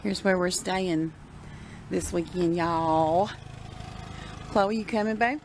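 A woman's voice: on the low side, takes it slowly, darkish tone. A woman, chatting and laughing in a casual travel vlog, recorded on a phone held at arm's length inside a moving car. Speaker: vlogger. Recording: road noise from a moving car.

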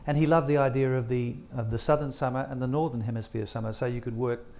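A man's voice: medium pitch, fairly even intonation, fast talker, a little mellow. An older man with an Australian accent, interviewing guests on stage as the host of an evening event.

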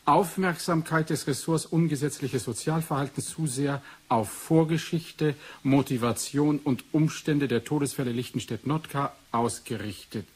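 An actor playing an analytical, brooding research doctor in a German 1980s science fiction radio play, speaking in a measured, formal way. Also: male voice, on the high side, husky. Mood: detached, analytical. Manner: measured, formal, clipped dictation.

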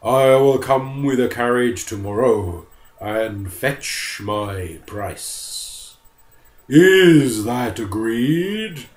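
A man's voice: lively intonation, echoey room. A man voicing a huge, menacing talking bear in a storybook reading, drawn out and growling. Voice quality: slow, booming, growling.

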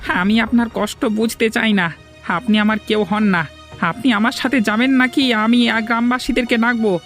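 Cartoon role: young male villager acted by a man, distrustful, persistent, quick to accuse, music bed behind. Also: extremely high pitch, steady tone, fairly bright tone. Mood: firm. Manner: accusing, insistent. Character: suspicious, insistent young village lad. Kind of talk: cartoon voice acting.

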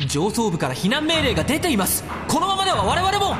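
An actor playing a tense military operator giving clipped, urgent reports in a radio drama. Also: male voice, very high voice, huge pitch swings, fairly quick, exaggerated voice. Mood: alarmed. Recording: reverberant, music behind.

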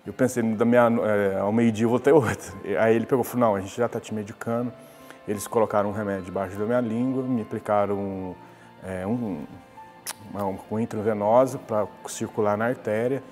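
A man's voice: lowish voice, a little mellow. A man tells his story in an easy, conversational way, often restarting his sentences. His voice carries a long reverb from a hall, and faint music plays underneath. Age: 40s. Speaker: interviewee. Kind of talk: personal account in a TV interview. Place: glass-walled lobby, indoors.

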